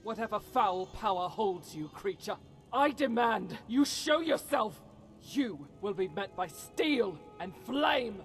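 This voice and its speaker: Devout warrior woman, slow and solemn. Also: medium pitch, lively intonation, faint music behind. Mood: alarmed, defiant. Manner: solemn, earnest, hesitant and halting. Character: devout, troubled warrior woman, a fantasy follower. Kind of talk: dramatic fantasy game voice acting.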